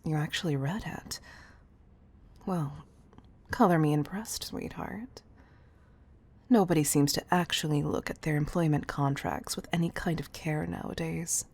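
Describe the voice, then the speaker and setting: A woman playing a composed, commanding CEO in an intimate roleplay audio, speaking in a measured, teasing, patronizing way with affectionate firmness. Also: low-pitched, a little mellow, slightly rough, full character voice.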